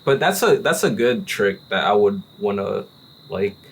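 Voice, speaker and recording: Animated man telling a story. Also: lowish voice, measured pace, slightly rough, poor audio. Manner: animated storyteller, peppers talk with "like" and "right?". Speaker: podcast host. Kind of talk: casual chat. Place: relaxed cigar-smoking hangout with friends.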